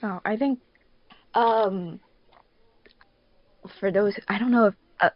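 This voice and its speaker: Woman chatting casually, dull lo-fi audio. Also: mid-range voice. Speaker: podcast panelist, fandub production crew member. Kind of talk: casual group podcast chat.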